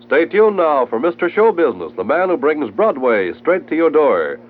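Old-time American network announcer, male, animated pitch, noisy vintage radio sound. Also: moderate pace, phone-quality sound. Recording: noisy band-limited old radio recording.

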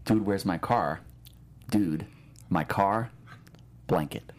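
A man's voice: low-pitched, slow pace. A man co-hosting a show with casual, joking, rambling chat. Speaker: podcast co-host.

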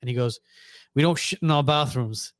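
A man's voice: medium pitch, moderate pace, dry recording. Rambling man telling a pub story. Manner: rambling, restarts, laughs at himself. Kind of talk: casual chat.

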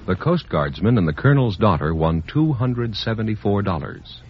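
A man's voice: on the low side, big pitch swings, moderate pace, a little hiss or hum. A middle-aged man announcing a quiz show and reading a sponsor ad in a polished, emphatic style. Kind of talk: scripted announcing and ad read. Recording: dull old radio broadcast.

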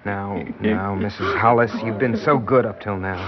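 An actor playing an earnest, patient doctor in a radio drama, gently insistent and deliberate as he speaks. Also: fairly low pitch, fairly even intonation, crowd noise, lightly acted. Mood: gently consoling. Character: earnest, patient male doctor breaking grim news. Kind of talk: old-time radio drama acting. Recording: dull, hissy classic radio recording.

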